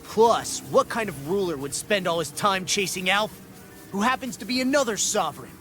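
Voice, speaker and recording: An actor voicing a brash, indignant young fighter in a game cutscene, outspoken and challenging. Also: male voice, high voice, expressive, moderate pace, a bit bright, faint music behind. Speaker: video game character voice actor. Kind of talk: dramatic game cutscene dialogue.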